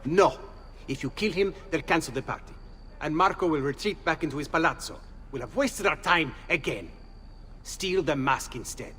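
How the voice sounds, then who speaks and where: An actor playing a blunt, sardonic thief in a video game, speaking. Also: male voice, a little high, lively intonation, moderate pace, fairly bright tone. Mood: insistent. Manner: blunt and scoffing. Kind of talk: video game cutscene acting. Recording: reverberant room with faint noise.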